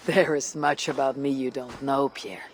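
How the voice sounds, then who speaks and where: A man's voice: mid-range voice, fairly even intonation, close to an everyday voice. Man playing a composed, stoic Viking warrior. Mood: flirtatious. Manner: measured, terse, stoic. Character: steadfast, composed Viking warrior and clan leader. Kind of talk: dramatic video game cutscene acting. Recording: music under the voice.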